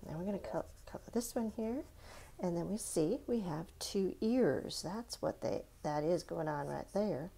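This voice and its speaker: A woman's voice: on the low side, animated, measured pace, vocal fry, mellow, breathy edge. A woman giving a step-by-step drawing lesson for young children in simple, encouraging words, often checking in with questions. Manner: simple, encouraging, instructional, asks the listener questions.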